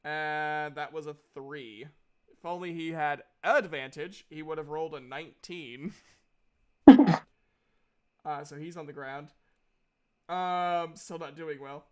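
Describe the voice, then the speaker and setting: A man's voice: a little high, slow pace, pauses often, mellow, clean tone. A man casually narrating a tabletop roleplaying game as the game master. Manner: casual, conversational.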